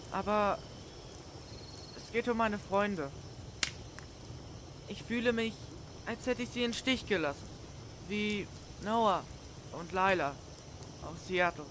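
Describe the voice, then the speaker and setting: A man's voice: high voice, background noise. A man voicing an earnest, vulnerable young boy in a video game fan dub. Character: sad, earnest young boy missing his friends and dad. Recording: night crickets and outdoor ambience behind.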